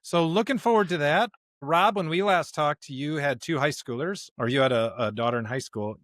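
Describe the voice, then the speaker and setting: Higher-voiced American man, upbeat podcast host. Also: normal speed, a bit bright, dry recording, clean studio sound. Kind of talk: casual podcast chat and introductions. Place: studio.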